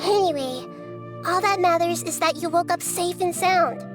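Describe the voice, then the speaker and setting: A woman voicing a small, childlike goddess, gentle and wise, speaking in a measured, inquisitive way for a video game. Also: very high voice. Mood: calm, thoughtful. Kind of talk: anime-style video game acting. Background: music.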